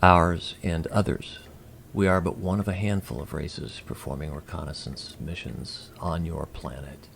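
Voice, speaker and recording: Man playing a gravelly, formal alien emissary. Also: rumbling low, fairly bright tone, slight background noise, exaggerated voice. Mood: solemn, explanatory. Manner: formal, measured, precise wording. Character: polite, curious, formal male alien emissary. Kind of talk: audio drama acting.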